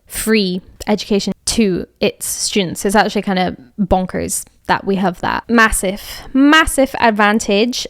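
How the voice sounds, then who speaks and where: A woman's voice: medium pitch, no room sound, studio quality. A young woman from Scotland with a light Edinburgh accent, hosting a podcast and giving rambling, casual advice full of fillers and restarts. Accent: Scottish, Edinburgh. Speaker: podcast host.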